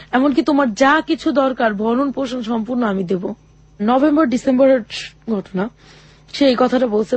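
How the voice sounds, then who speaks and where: A woman's voice: on the high side, a little mellow, no echo. Earnest woman telling her life story. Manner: halting and earnest, often quoting others. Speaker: interview guest. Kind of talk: personal life story told in an interview.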